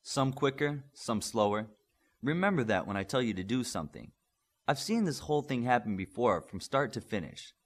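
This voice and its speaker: A seasoned superhero combat coach , acted by a man reading an audiobook. The delivery is blunt and instructive, with lively swings in pitch. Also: moderate pace. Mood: matter-of-fact. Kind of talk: audiobook character voice.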